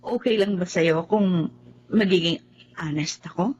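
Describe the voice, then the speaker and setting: An actress playing a frank, kind young friend who keeps the peace, speaking earnestly with a Filipino accent in a TV drama. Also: female voice, on the low side, a little slow, breathy edge, highs cut off. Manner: earnest, gently admonishing.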